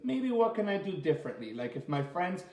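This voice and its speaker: A man leading a children's story time as a teacher, reading aloud and asking the listeners simple questions in an animated way. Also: high-pitched, fairly quick. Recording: roomy, echoey sound.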